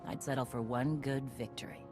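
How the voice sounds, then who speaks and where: A woman's voice: very deep voice, steady tone, a little fast, over music. An actress playing a battle-hardened, blunt female warlord who speaks in terse phrases, for a video game. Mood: gruff, commanding.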